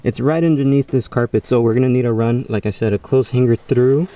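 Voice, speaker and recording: Male how-to host, mid-pitched and clear, informal, explaining step by step. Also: brisk, dark tone. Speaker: video host. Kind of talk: casual how-to tutorial.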